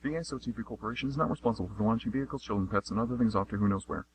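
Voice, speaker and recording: Male announcer-narrator, slightly deep, scripted radio drama links, faint background noise. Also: very fast, low-quality recording.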